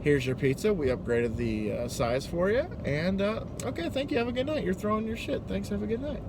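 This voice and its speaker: A man's voice: sits high, animated, brisk, pitched above the performer's own voice. A man voicing his own polite, apologetic delivery-driver self in a told story, placating and professional. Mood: forced politeness. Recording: noisy, car-like ambient background noise.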